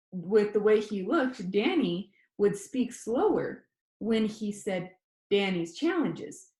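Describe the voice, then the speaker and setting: A woman reading a first-person novel aloud as an audiobook, with expressive storytelling delivery. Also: medium pitch, echoey room. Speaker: audiobook reader.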